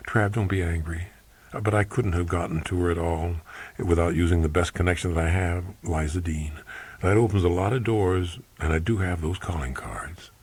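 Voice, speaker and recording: Man voicing a husky, reserved career woman. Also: deep voice, normal speed, darkish tone, no room sound. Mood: defensive. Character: staunch, efficient, reserved 1960s career woman.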